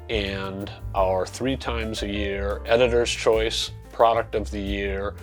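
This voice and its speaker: A man, a magazine publisher, delivering a scripted promotional announcement to viewers. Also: lowish voice. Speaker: magazine publisher, channel presenter.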